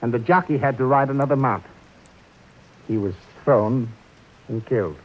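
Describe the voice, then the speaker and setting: A man's voice: normal speed, natural voice. A man playing a meek, guilty old horse trainer. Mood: pleading. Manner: earnest, pleading, jumpy pitch. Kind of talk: 1940s radio acting. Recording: dull lo-fi old radio broadcast.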